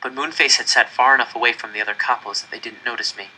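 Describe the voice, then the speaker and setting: Bright, forceful man reading a story aloud. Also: mid-range voice, fairly even intonation, fast talker, slight background noise, poor audio. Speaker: audiobook-style reader. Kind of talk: dramatic first-person story narration.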